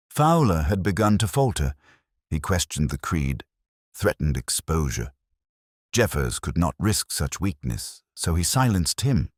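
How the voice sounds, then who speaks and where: A grave, incisive detective from a mystery audiobook, voiced by a man. The voice is extremely low with a husky grain, and it moves expressively through measured, oratorical explanations. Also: no room sound, clean studio sound, heavily acted.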